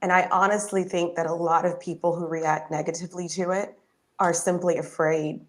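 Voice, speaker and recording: A woman host with a deeper voice, interviewing a guest in a warm, affirming way. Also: level tone, normal speed.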